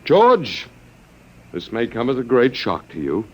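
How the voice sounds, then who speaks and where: A man's voice: mid-range voice, wildly swooping pitch, unhurried, fairly bright tone, dull audio, full character voice. A man playing a stubborn captive in a 1950s radio drama, speaking with dry, sardonic wit. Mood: wry.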